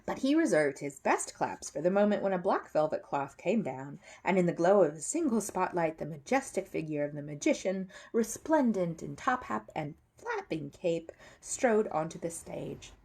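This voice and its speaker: Storybook reader, female, animated delivery, husky edge, big swings in pitch. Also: moderate pace, few pauses. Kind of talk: animated read-aloud narration of a children's story.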